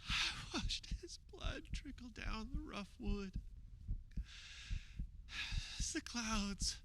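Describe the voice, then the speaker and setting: A man playing a grieving disciple in a radio theater, recounting a crucifixion in a halting, emotional way. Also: high-pitched, animated, noisy background, heavily acted. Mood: somber grief. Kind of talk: dramatic radio theater monologue.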